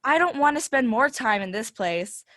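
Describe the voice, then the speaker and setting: A woman playing an eager young schoolgirl in a stage play, loud and whiny. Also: a little high, expressive, moderate pace, no room sound, clean studio sound. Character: eager young girl Red, Sam's classmate.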